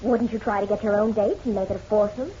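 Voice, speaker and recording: A woman playing a teasing, sharp-witted detective's secretary in a radio drama, playful, arch and eager. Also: mid-range voice, steady tone, fast, lightly acted. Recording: dull, noisy radio recording.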